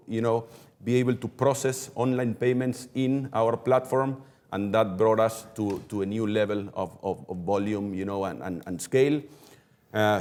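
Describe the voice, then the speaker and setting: Low-voiced businessman. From Argentina. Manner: steady, explanatory, often repeats words while thinking. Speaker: logistics executive, guest. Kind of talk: webinar interview answers. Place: company headquarters office.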